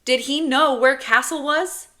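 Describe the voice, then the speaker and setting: Woman acting a stubborn, high-pitched little boy. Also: unhurried, sharp tone. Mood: insistent, pestering. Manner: protesting, pestering, emotional. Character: stubborn, upset ten-year-old boy, pleading.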